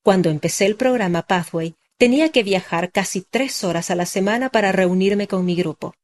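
A young adult woman narrating a personal testimony in a measured, earnest scripted read. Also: mid-range voice, a little mellow.

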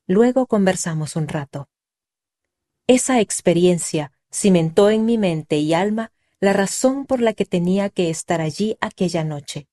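A woman reading a first-person story aloud in a calm, measured, reflective way. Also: lowish voice. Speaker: reader. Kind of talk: scripted first-person narration.

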